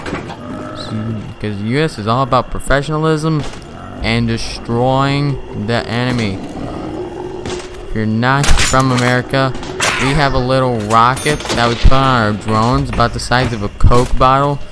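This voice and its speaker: A man's voice: medium pitch, measured pace, background noise. A young man in his teens rambling chattily while playing a video game at home at night, with jokey asides. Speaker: gaming video host. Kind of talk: casual gameplay commentary. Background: game sounds and music.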